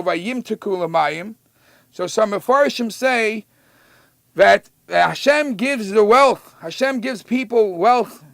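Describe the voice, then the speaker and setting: Guy w yeshiva teaching scripture, high voice, gravelly, emphatic. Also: fairly bright tone, no echo. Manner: emphatic, slows down to quote verses then explains conversationally. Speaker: rabbi giving a Torah lesson. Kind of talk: religious teaching, explaining scripture.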